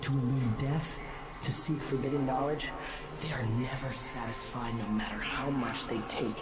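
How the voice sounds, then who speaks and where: An actor playing an enigmatic, detached god in a video game, delivering cryptic lines in a measured, solemn way. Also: male voice, medium pitch, steady tone, close to an everyday voice. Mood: solemn, haunted. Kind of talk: dramatic game cutscene monologue. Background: very loud music.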